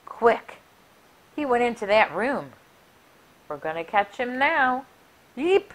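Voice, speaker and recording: A middle-aged woman reading a rhyming picture book aloud for children in an expressive, deliberate, sing-song way. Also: fairly high pitch, low-quality recording. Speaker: library story time reader. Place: small furnished room indoors. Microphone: clip-on lapel mic.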